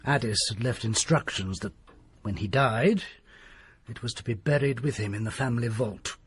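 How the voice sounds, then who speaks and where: An actor playing a secretive country doctor who tells a grim tale in a grave, hushed manner. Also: male voice, mid-range voice, moderate pace, slight background noise, lightly acted. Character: desperate, secretive middle-aged male country doctor. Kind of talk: period radio drama acting.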